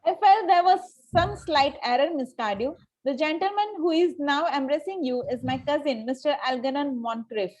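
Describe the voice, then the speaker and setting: A woman's voice: sits high, normal speed, few pauses, a little mellow, close to an everyday voice, rough recording. A woman acting a haughty, indignant young Victorian lady of fashion, formal and precise, in an amateur table read of a stage comedy. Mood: formal, wounded.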